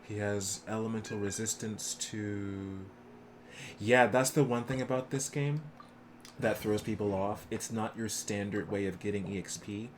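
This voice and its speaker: A man's voice: on the low side, unhurried, slight background noise. A man doing casual live commentary as a game streamer, explaining things in an easygoing way.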